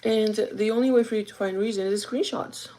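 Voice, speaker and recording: A young woman with a gravelly, groggy voice chats casually and directly to her viewers, giving advice in an informal vlog style. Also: mid-range voice, steady tone, brisk, dark tone, a little hiss or hum, rough recording. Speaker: vlogger chatting while doing makeup.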